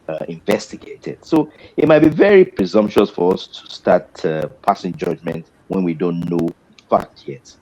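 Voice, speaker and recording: A middle-aged Nigerian man, a doctor, speaking deliberately on a panel, with pauses and restarts. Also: deep voice, darkish tone, low-quality recording. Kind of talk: measured panel discussion.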